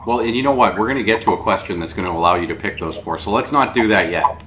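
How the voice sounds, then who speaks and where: A man's voice: lowish voice, quick pace, a bit bright, a little hiss or hum. A man hosting a podcast, talking in an enthusiastic, rambling way full of fillers. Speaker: podcast host. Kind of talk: podcast interview.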